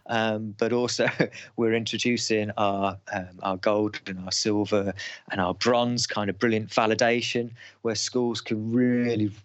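A man's voice: fairly low pitch, flat delivery, a little slow, no echo. A man in his forties, a podcast guest who talks about his schools programme in an enthusiastic, motivational way, with hesitations and restarts. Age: 40s. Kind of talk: casual podcast interview.

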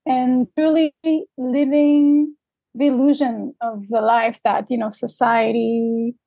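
Woman, life coach guest, reflective, searching, higher voice, dry sound. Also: level tone, slow pace, no room sound, muffled recording. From Dominican Republic, raised in Canada. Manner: reflective, searching, with many fillers. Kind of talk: interview conversation. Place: remote, traveling in Mexico.

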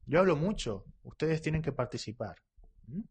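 A man teaching Spanish in a live online class, reading out and correcting viewers' comments. He talks quickly and keeps checking in with "¿vale?" and "¿eh?". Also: on the high side, expressive, slightly rough.

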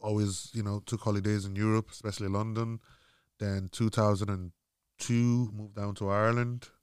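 A man's voice: lowish voice, little pitch movement, moderate pace, clean tone, dry recording, clean studio sound. A man from Nigeria, a podcast guest, speaking in a laid-back way, filling with "you know". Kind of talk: casual interview talk.

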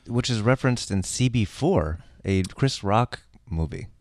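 The man you'd hear hosting a comedy podcast from a dry little booth, bouncing playful questions off a guest in a low, lively voice. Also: measured pace, no echo. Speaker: podcast host. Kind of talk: comedy interview chat.

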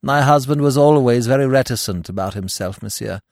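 A man's voice: mid-range voice, fairly quick, creaky, a little mellow, dry recording, studio quality, pitched above the performer's own voice, lightly acted. A man reading an audiobook, voicing a grieving, dignified middle-aged widow who speaks in a reserved, composed way. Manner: reserved, composed, breaking into sobs.

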